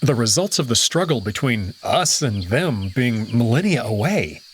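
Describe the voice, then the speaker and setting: A man reads first-person story narration, reflective and expressive. His pitch rises and falls with lively movement through each line. A faint bed of outdoor nature sound sits behind him. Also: moderate pace, slight background noise. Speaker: narrator and lead character of a visual novel. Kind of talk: first-person scripted narration of a visual novel.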